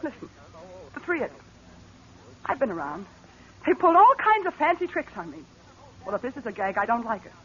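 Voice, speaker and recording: A woman's voice: a little high, big pitch swings, brisk, long pauses, slightly rough, exaggerated voice. An actress playing a brassy, bossy, slangy woman film star in a vintage radio horror drama. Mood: frantic, accusing. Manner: slangy, sharp-tongued, demanding. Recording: dull lo-fi old radio recording.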